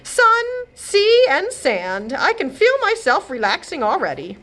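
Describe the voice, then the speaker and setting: A woman's voice: high voice, wildly swooping pitch, unhurried, a bit bright, pitched above the performer's own voice, full character voice. Lady doing a fed-up fairy godmother from a kids storybook, muttery and grumpy, voice goes up and down a lot. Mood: relieved. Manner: muttering, complaining. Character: weary, grumbling fairy godmother, fed up and touchy. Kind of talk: children's storybook read-aloud.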